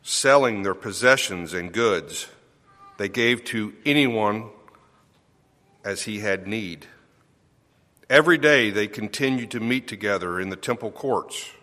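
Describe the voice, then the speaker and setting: A man with a lowish voice reads a scripture passage very slowly and deliberately. His reading is measured and steady. The church hall leaves a long reverb on every phrase. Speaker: scripture reader.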